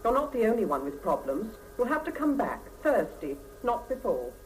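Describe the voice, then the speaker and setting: An exasperated, argumentative woman worker in a vintage radio drama. Her pitch is fairly high and swings freely. The sound is slightly distant, with faint tape hiss. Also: sing-song, moderate pace, darkish tone. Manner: brusque, argumentative. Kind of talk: 1970s radio drama acting. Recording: hissy, distant 1975 radio cassette recording.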